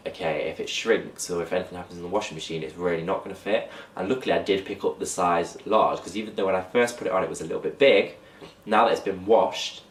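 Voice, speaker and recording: A young man talks casually to camera, his blocked nose giving his low voice a nasal edge. He sits back from the camera mic in an echoey room. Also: distant mic. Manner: chatty, talks to camera while holding up clothes. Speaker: fashion vlogger. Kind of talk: casual haul vlog.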